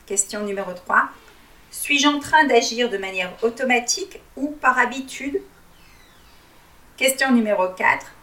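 A woman whose first language is Arabic, working as a life coach and leading listeners through a reflective exercise in a calm, measured way, often posing questions. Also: on the high side, recorded from a distance, poor audio.

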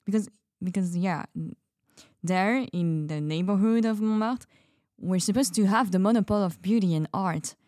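A woman playing a dreamy, hesitant artist in a radio drama, telling her story in a halting, musing, naturalistic way. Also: mid-range voice, warm tone, no room sound, clean studio sound, lightly acted. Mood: wondering, puzzled. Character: Emile, dreamy hesitant female artist from closed community.

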